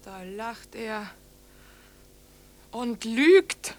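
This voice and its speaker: An actress in a German radio drama playing a tormented, feverish psychiatric patient who raves accusingly in broken, incantatory phrases. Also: female voice, a little high, animated, extremely slow, no echo. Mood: feverish, suspicious.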